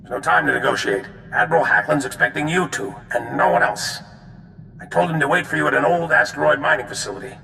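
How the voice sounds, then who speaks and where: Very gravelly, gruff general. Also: male voice, fast, sharp tone, heavily acted. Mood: businesslike. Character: weary, gravelly alien military general, gruff but regretful. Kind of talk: video game voice acting. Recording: echoey hall, noisy rumble.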